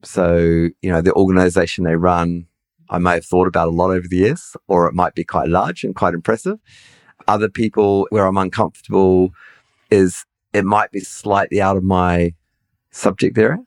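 A man's voice: sits low, fairly even intonation, normal speed, no room sound, clean studio sound. A middle-aged man with a somewhat nasal voice, hosting a podcast in casual conversation, hesitant and self-deprecating with many restarts. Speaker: podcast host.

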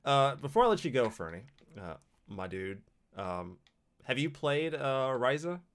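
A man in his thirties hosting a casual podcast, ranting with lots of swearing and sudden loud outbursts. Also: mid-range voice, measured pace. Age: 30s. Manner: profane, animated rants with sudden loud outbursts. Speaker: podcast host.

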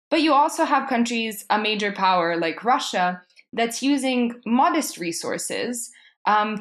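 A young adult woman from Serbia presenting a prepared talk on world affairs, measured and with frequent ums. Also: medium pitch, a bit bright.

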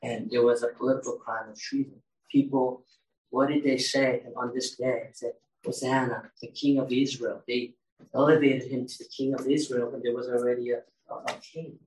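A man's voice: medium pitch, steady tone, moderate pace, darkish tone. A man preaching a sermon in a conversational way, asking his congregation questions as he goes. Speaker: pastor. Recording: roomy echo, mic at a distance.

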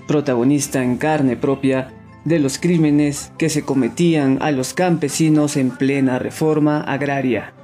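Mellow man introducing a podcast guest. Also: fairly high pitch. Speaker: podcast host. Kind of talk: scripted introduction.

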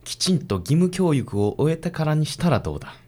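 A man's voice: fairly high pitch, big pitch swings, a little fast, a little mellow. An actor playing a stern, stuffy businessman father, speaking stiffly and disapprovingly in a radio drama. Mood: stern, irritated.

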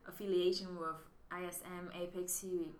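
A woman's voice: lowish voice, flat delivery, fairly quick. Woman in an echoey room. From Vietnam. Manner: thoughtful, restarts often, non-native English phrasing. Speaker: MBA student guest. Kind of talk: casual interview answers. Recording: echoey room with faint noise.

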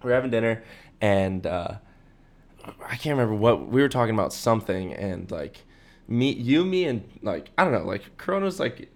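A man's voice: fairly low pitch, big pitch swings, normal speed, a little mellow. A young adult man co-hosting a podcast, telling personal stories in a casual, rambling way and acting out the quotes. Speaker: podcast co-host. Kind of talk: casual anecdote-telling chat.

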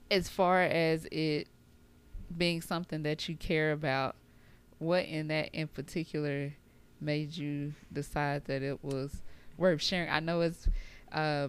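A woman co-hosting a podcast who asks her guest questions in a measured, careful way. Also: low voice, smooth. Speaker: podcast co-host. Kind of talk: casual interview questions.

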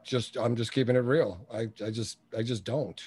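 A man's voice: mid-range voice, steady tone, moderate pace, darkish tone, husky. A man in his early sixties with a mid-range voice speaks as a guest in a conversational interview. He is blunt and opinionated, driving points home with emphatic, colloquial phrasing and storytelling. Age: early 60s. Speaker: interview guest, business coach. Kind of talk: conversational interview with anecdotes and advice.

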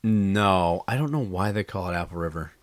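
A man's voice: sits low, expressive, normal speed, no room sound. A man hosting a podcast, chatting casually in a rambling, crude way and swearing a lot. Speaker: podcast host. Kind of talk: casual chat. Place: indoor room recording a podcast. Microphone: studio mic he monitors himself.

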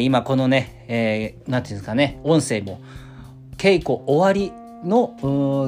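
A man speaking as a circle leader, making an informal, conversational announcement and thinking aloud as he goes. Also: mid-range voice. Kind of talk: informal spoken promo. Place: at home. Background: faint guitar music.

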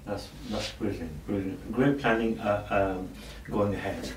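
A man with a low voice hosts a community podcast, keen and agreeable, filling gaps with yeahs and ums. He sounds distant from the mic. The room is echoey, with a faint noise underneath. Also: a little slow. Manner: eager, with many agreeing fillers. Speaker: podcast host. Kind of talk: casual interview questions.

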